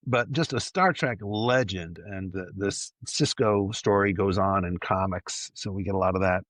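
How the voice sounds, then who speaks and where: A man's voice: low voice, moderate pace, a bit bright, dry recording. A man hosting a casual fan discussion, effusive and emphatic, often repeating words for emphasis. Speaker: podcast host.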